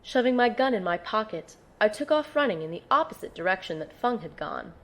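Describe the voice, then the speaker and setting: A woman's voice: medium pitch, sing-song, a little fast, a bit bright. Woman acting a determined young operative narrating. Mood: tense, reflective. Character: determined young female field medic and team operative narrating her mission. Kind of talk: first-person audio drama narration with dialogue.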